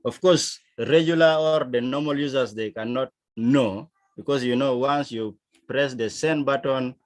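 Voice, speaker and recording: A man from South Sudan with a South Sudanese accent, teaching a lesson in a deliberate, emphatic manner. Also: medium pitch. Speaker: instructor. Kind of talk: digital literacy lesson, explaining to learners.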